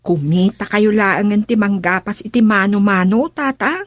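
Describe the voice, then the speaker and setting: An actress playing a caring, earnest young adult farmer's daughter in an Ilocano radio drama, with an Ilocano accent. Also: female voice, mid-range voice, normal speed, no room sound, lightly acted. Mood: caring, concerned.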